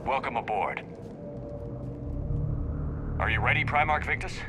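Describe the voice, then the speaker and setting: An actor playing a resolute human military commander in a sci-fi game, speaking firmly and earnestly. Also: male voice, mid-range voice, unhurried, natural voice. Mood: steady. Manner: firm, earnest, direct. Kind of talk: dramatic sci-fi game acting. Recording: loud battlefield and engine noise behind.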